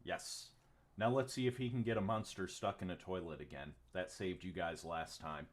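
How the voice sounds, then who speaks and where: A man's voice: sits low, fairly even intonation, moderate pace. A man running a tabletop game as game master, rambling with many ums and casual swearing. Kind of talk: narrating a tabletop game on a livestream.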